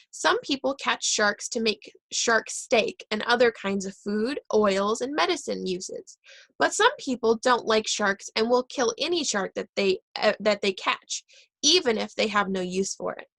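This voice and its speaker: Woman reading a kids book aloud, kinda gravelly, higher voice, stops and fixes words. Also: fairly bright tone, dry recording. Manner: reads aloud with pauses and self-corrections. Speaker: reader.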